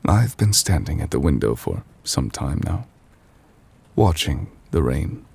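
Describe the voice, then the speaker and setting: A man playing an ancient, weary chief judge in an intimate ASMR roleplay, formal and gentle, speaking in measured, hesitant phrases. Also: very animated, exaggerated voice. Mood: troubled, pensive. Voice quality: husky, breathy low voice. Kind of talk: intimate ASMR audio roleplay, slow dramatic monologue.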